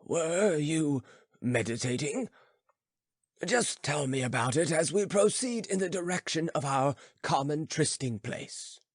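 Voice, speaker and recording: A man's voice: on the high side, expressive, measured pace, dry recording, studio quality, pitched above the performer's own voice. A man voicing an old philosopher, lofty and teasing, questioning emphatically in an audiobook story. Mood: musing, curious. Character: old philosopher sage, lofty, teasing, growling, addressing youths.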